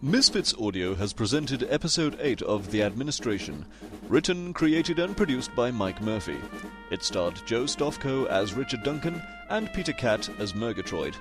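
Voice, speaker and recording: An actor with an English accent playing a wry, formal, genteel narrator in a comedic audio drama. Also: male voice, mid-range voice, big pitch swings, normal speed, music in the background, lightly acted. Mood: formal announcing. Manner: formal, polite, droll.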